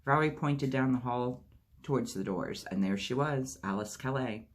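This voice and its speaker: Husky-voiced man reading a memoir. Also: medium pitch, normal speed. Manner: steady narration with lightly acted dialogue. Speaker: audiobook reader.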